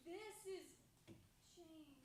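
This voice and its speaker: A woman's voice: very high voice, extremely slow, full character voice. An actress playing a flustered, anxious young woman in a staged play reading, stammering and exclaiming over texts to her crush. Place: indoor room, staged reading, distant mic. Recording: roomy, distant, noisy live stage recording.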